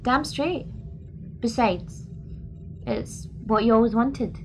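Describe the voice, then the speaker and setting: A woman's voice: a little high, slow, faint music behind. A woman playing a friendly, doubting starship engineer in an audio drama, informal in manner. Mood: teasing.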